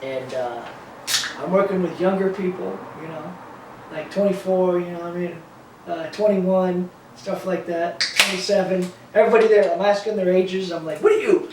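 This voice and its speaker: A man in his thirties, an animated storyteller who acts out quotes, chatting casually as a podcast host. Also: high voice, moderate pace, background noise. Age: 30s. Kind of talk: casual chat. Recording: echoey room, distant mic.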